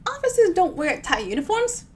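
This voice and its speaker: A woman's voice: extremely high pitch, sing-song, normal speed, slight background noise, voice pushed up. A woman voicing an exasperated, dry-humored woman from a comic novel, incredulous and emphatic.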